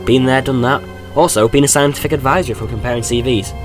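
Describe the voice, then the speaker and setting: A man's voice: medium pitch, fast talker, music in the background, lightly acted. A man playing a time-travelling alien adventurer in a fan audio drama, quippy and defiant. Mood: flippant, curious.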